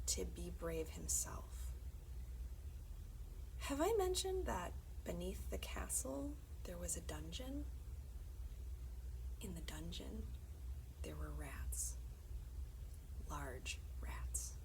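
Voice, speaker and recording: A woman reading a children's story aloud as a librarian, in measured, often hushed narration with dramatic pauses. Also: mid-range voice, gravelly, a little hiss or hum, low-quality recording.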